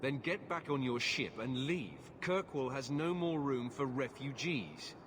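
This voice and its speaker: A firm male city guard voiced by an actor in a fantasy game speaks brusquely at a medium pitch, with long reverb. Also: lively intonation, measured pace, natural voice. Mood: unyielding. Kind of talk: fantasy video game acting. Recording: noisy, long reverberant ambience.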